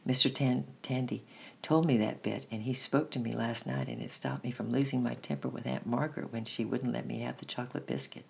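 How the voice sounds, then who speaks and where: A reader voicing an earnest young girl who shares her secret, read in a gentle storybook style with a creaky, husky voice. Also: medium pitch, fairly even intonation, fairly quick.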